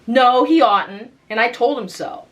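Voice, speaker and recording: A woman reading aloud, voicing a sturdy, practical fourteen-year-old girl who is brisk and motherly. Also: on the high side, voice pushed up. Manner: bright, emphatic and chatty.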